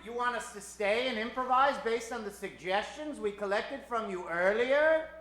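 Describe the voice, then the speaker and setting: A man's voice: very high-pitched, moderate pace, fairly bright tone. A man hosting a live improvised radio show on a theater stage, showy and mock-formal in an old-time radio manner, joking with the crowd. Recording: echoey theater stage recording.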